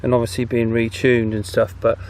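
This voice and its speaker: A man hosting a casual video outdoors, chatting in a halting way and checking in often as he tests gear. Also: mid-range voice, completely flat delivery, darkish tone. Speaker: host. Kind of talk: casual outdoor video commentary and walkie-talkie testing. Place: outdoors on a path. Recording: outdoor background noise, faint.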